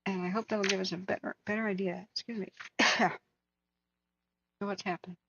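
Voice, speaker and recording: A woman hosting a wildfire tracking livestream, hoarse from hours of talking, speaking earnestly and answering viewers by name. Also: mid-range voice, steady tone, normal speed, dull audio, noisy background. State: hoarse, losing her voice. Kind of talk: casual livestream chat.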